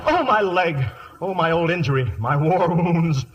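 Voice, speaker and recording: An actor playing a cowardly ham who poses as a pompous upper-class British earl, exaggerated and wisecracking, in old-time radio comedy. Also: male voice, fairly high pitch, lively intonation, a little slow, lo-fi sound. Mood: panicky. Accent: put-on upper-class British. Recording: faintly noisy vintage radio recording.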